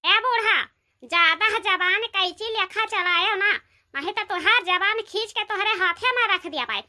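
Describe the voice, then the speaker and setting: A woman voicing a quarrelsome, sharp-tongued young village daughter-in-law with an Awadhi accent in a comedy cartoon, scolding and arguing. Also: extremely high pitch, normal speed, exaggerated voice. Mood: defiant scolding. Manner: scolding, threatening, argumentative. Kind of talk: Hindi dialect comedy cartoon dialogue.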